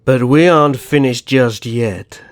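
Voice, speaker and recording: A man playing a smugly cruel, vengeful vampire naga in an ASMR roleplay, taunting and drawing out his words in a gravelly voice. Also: medium pitch, no room sound, clean studio sound, natural voice. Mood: sadistic amusement. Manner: drawn-out, taunting.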